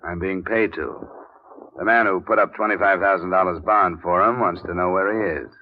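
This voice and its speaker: A man's voice: deep voice, fairly even intonation, normal speed, slight background noise, lightly acted. A man playing a tough, wry private investigator in a 1950s radio detective drama, clipped and sardonic, narrating his case. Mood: cool, wry. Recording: dull old radio recording with music.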